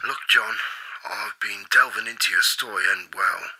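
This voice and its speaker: An actor in a radio play as a supportive confidant, measured and probing as he questions a troubled friend. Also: lowish voice, pushed and bright, poor audio.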